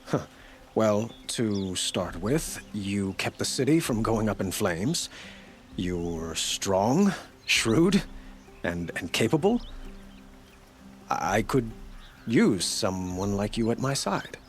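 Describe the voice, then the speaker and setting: An actor playing a noble, gracious ruler with a formal, earnest manner in a cinematic video game. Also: male voice, on the low side, very animated, slow pace, soft background music. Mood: admiring, hesitant.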